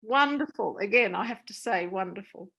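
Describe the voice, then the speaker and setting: A woman's voice: mid-range voice, expressive, normal speed, vocal fry, bright, forceful tone, slightly rough, lo-fi sound. An older woman from Australia, answering questions as a guest author in an online panel.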